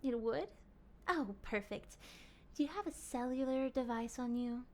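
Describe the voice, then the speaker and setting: Woman acting a slow, breathy, possessive vampire lady. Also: sits high, a little mellow. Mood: courteous, eager. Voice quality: breathy, often dropping to a whisper. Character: possessive, courteous, hungry female vampire, soft dominant. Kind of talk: intimate ASMR roleplay acting.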